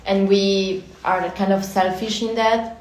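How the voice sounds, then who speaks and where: A young Italian woman with an Italian accent, talking quickly in a casual podcast chat and sometimes searching for English words. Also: mid-range voice, steady tone, a little hiss or hum. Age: young adult. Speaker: architecture student guest. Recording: echoey room.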